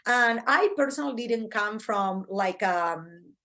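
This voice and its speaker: A middle-aged woman from Argentina with an Argentine Spanish accent, chatting as a podcast guest in an excitable, talkative way with many fillers. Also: mid-range voice, slow. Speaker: podcast guest, branding coach. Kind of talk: casual interview chat.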